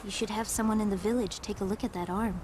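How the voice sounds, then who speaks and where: A woman's voice: medium pitch, steady tone, fast, lightly acted. Woman playing a cool young kunoichi, noisy backdrop. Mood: cool, teasing. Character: cool young kunoichi, loyal and lightly teasing. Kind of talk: video game cutscene acting. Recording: loud ambient noise behind.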